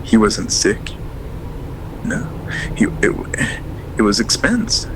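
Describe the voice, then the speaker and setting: Casting: male actor as quiet reflective caller, very low voice, clear background noise on the line. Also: sing-song, takes it slowly, full character voice. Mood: subdued. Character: subdued man caller, former horse-barn worker.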